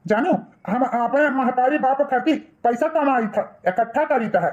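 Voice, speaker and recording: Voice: high-pitched, moderate pace, lo-fi sound, natural voice. A performer voicing a bitter, outspoken young village man in a cartoon moral story, taunting and reproachful, with an Awadhi accent.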